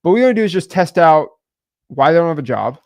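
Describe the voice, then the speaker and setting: Animated young man, rambling casual advice. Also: on the high side, fast talker. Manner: rambling, many fillers, animated swings in loudness. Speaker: podcast co-host. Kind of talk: casual business advice chat.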